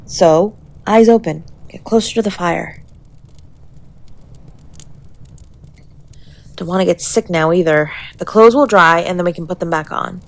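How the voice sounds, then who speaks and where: A woman playing a sharp-tongued but tender young woman who protects a lover, in an intimate acted audio roleplay, bluntly affectionate and halting when emotional. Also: on the low side, close to an everyday voice. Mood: tender, worried.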